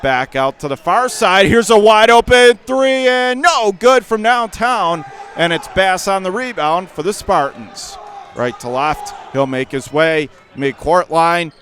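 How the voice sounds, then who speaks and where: An American man calling live basketball play-by-play for radio in clipped phrases that rise with the action, from a high school gym. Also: a little slow, some chatter behind, slight background noise. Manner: rattles off clipped phrases, rising to excited calls on plays. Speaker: play-by-play commentator. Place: indoor high school gymnasium.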